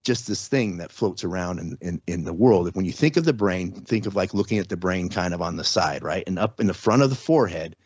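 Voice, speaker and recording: A man's voice: low-pitched, lively intonation, fairly quick, hardly any pauses, darkish tone, slightly rough, dry recording, lo-fi sound. A man speaking as a podcast guest, explaining things step by step in a casual, conversational way with everyday examples. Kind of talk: casual explanatory interview talk.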